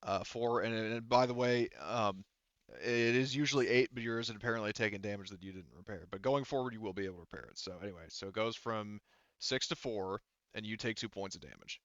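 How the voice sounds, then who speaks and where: Low, smooth-voiced man running a game. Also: brisk, a bit bright, no room sound, lo-fi sound. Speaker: game master. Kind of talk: casual tabletop game talk.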